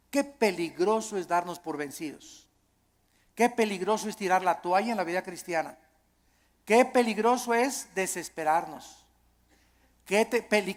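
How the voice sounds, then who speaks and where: A male preacher with a Mexican accent delivers a sermon in a large hall with long reverb trailing his words. He is emphatic, driving points home through repetition and rhetorical questions. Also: sits high.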